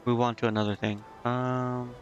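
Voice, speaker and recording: A man's voice: medium pitch, little pitch movement, normal speed, muffled recording, soft background music. A man giving casual live gameplay commentary, thinking aloud to himself in a hesitant, muttering way. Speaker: game streamer.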